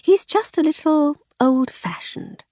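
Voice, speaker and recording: A woman's voice: high voice, unhurried, a little mellow, no room sound, studio quality, pitched above the performer's own voice. Woman doing a teasing, airy aristocratic lady. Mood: playful. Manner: playful, airy.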